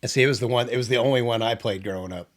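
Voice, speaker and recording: A man's voice: medium pitch, racing. An older man from the Kansas City area, chatting casually on a podcast, laughing often and restarting his sentences. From Kansas City area, Missouri. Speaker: podcast co-host. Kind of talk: casual chat.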